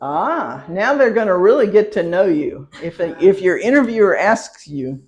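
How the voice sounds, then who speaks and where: A woman teaching a citizenship class, talking slowly and repeating each word for her learners. She is patient and laughs lightly between points. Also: mid-range voice, sing-song, darkish tone. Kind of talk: classroom pronunciation lesson.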